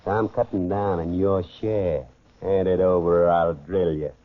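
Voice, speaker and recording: An actor playing a ruthless, boastful gentleman robber who barks orders and threats in a 1940s radio crime drama. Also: low voice, steady tone, moderate pace, lightly acted. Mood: cold threatening. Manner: barking, commanding, threatening. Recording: dull lo-fi 1940s radio recording.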